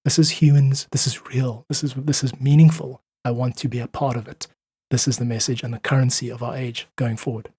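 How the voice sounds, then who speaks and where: A man's voice: mid-range voice, little pitch movement, fairly quick, darkish tone, husky, no room sound, clean studio sound. A South African man speaking as a musician guest in a conversational interview, emphatic, persuasive and informal as he gives his opinions.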